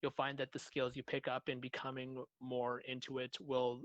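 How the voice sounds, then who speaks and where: A man with a mid-pitched voice talks casually as a podcast co-host. He is from Canada and sounds measured and matter-of-fact, recorded close and dry. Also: monotone, no room sound, highs cut off. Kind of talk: casual chat.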